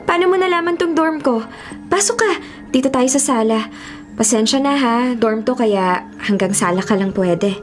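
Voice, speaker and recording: A woman's voice: high-pitched, natural voice. An actress playing a frightened, evasive young female college student in a Filipino radio horror drama. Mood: guarded. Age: young adult.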